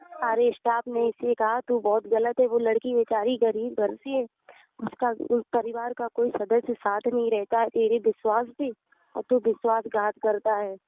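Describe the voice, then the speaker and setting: A woman's voice: a little high, flat delivery, moderate pace, no room sound. A woman on a phone call giving her side in an aggrieved, pleading and deferential way. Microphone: telephone. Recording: narrow tinny phone-call recording.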